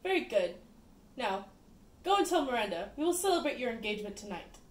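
Commanding puppet-show lord, young female performer, high and declamatory, amateur, recorded roomy and off-mic. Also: sing-song, brisk, slight background noise. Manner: theatrical, declamatory. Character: commanding, forgiving sorcerer and grieving king, sock puppets. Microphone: phone camera at a distance. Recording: roomy, distant phone camera sound.

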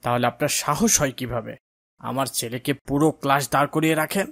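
A man's voice: medium pitch, steady tone, brisk, gravelly, lightly acted. A man playing an arrogant, threatening local councillor and angry father in a cartoon. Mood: indignant, threatening. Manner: haughty, threatening, scolding. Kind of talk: cartoon dialogue acting.

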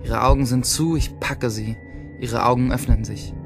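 Man acting teenage boy, mid-pitched, indignant self-styled hero, crime audio drama, background music. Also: steady tone, moderate pace, lightly acted. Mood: tense. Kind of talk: first-person audio drama narration and dialogue in a crime radio play. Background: music behind the voice.

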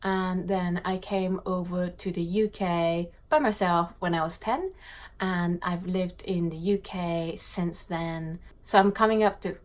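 A woman's voice: on the low side, measured pace. A woman in her thirties, born in Japan, answering viewer questions casually to camera in her home art studio, reading each question aloud before a candid reply. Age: 30s. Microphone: camera in front at desk distance.

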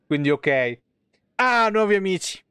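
A man's voice: sits high, sing-song, unhurried, bright, forceful tone, smooth, no room sound, clean studio sound. A man in his thirties with a Roman Italian accent, giving casual, slangy, sweary live commentary on a video game. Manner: slangy, swearing, muttering asides. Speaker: game streamer. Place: small room, indoors.

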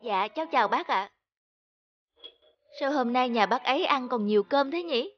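A woman voicing a poor, grateful young male scholar in an animated folk tale, polite and earnest. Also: sits high, normal speed, highs cut off. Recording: music behind the voice.

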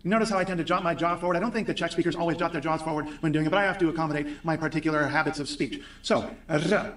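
Man presenting a pronunciation lesson, playful, higher voice, echoey room replayed from afar. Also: steady tone, fairly bright tone, recorded from a distance, slight background noise. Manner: playful, demonstrates sounds slowly. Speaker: video presenter. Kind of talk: explaining pronunciation. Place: room with bookshelves.

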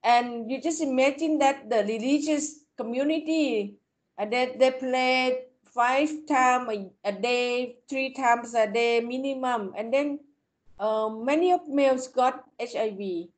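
A middle-aged Thai-accented woman lectures over a video call, her voice a touch higher than most women's. She talks in halting English, restarting sentences and leaning on "kind of". It sounds like a tablet mic in a room at home. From Thailand. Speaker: university lecturer. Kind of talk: online panel talk.

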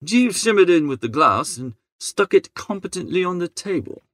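A man acts out a breezy young idle gentleman narrating his own storybook tale. The voice is fairly high and swoops in a very lively way, chattering in jaunty old-fashioned slang. The recording is close and dry. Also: moderate pace, dry recording. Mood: breezy, chatty. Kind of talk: scripted first-person audiobook narration.

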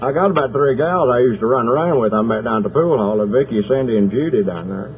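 A man's voice: medium pitch, fast talker, pitched below the performer's own voice, lightly acted. Guy doing a mad oklahoma country husband on a prank call, southern accent, rambly threats, crappy phone sound. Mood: threatening. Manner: folksy, rambling, deadpan menacing. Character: aggrieved, belligerent country husband threatening a whooping. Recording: noisy, tinny telephone line.

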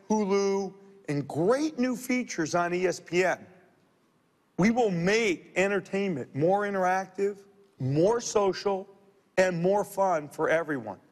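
A man giving a scripted keynote, measured and enthusiastic as he shows off a product. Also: high-pitched. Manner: measured, enthusiastic showcase delivery. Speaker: keynote presenter. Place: large convention hall stage. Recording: reverberant hall sound.

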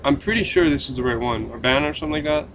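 A man chats casually, as if live streaming or on a prank call. His delivery is halting, with repeated words. There is faint noise behind him. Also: medium pitch, fairly bright tone, rough recording. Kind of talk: casual livestream chat and prank phone call.

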